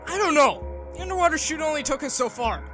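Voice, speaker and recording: A performer playing a practical, dutiful warrior companion in an animated fan dub. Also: high voice, fast talker, faint music behind. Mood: alert, concerned. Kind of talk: fan dub character acting.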